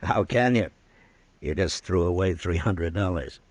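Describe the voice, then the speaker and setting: An actor playing a stern, weary Old West marshal in a 1950s radio western, speaking tersely and with authority. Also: male voice, deep voice, unhurried, background noise. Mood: dry, wry.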